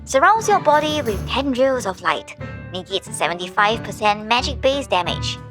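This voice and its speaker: A woman's voice: fairly high pitch, big pitch swings, moderate pace, music in the background. A woman voicing a showy game announcer reading out awards and item stats in a theatrical, snarky manner. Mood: brisk, snarky. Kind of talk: game system announcements, scripted.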